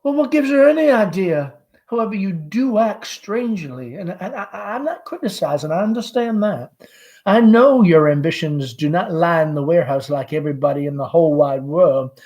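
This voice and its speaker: Reader acting middle-aged mother, high-pitched, anxious, fluttery, stammering, pleading, dramatic stage-play read-aloud. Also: expressive, normal speed, mellow, lightly acted. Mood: worried, pleading.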